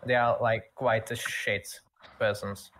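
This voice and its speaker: A man's voice: on the low side, little pitch movement, slow, a bit bright, a little hiss or hum. A man playing a tabletop game, bantering casually with frequent stammers and repeated words.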